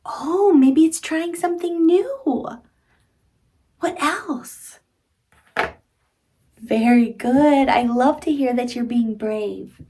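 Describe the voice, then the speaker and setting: A woman's voice: high-pitched, expressive, very slow, long pauses, warm tone. A woman in her thirties reading a picture book aloud to young children, speaking expressively in simple teacherly phrases and addressing the child directly.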